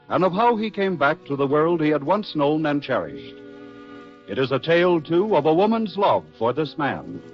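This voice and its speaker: A man announcing a scripted radio drama in a formal, dramatic 1940s style. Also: fairly high pitch. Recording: muffled 1940s radio, faint music.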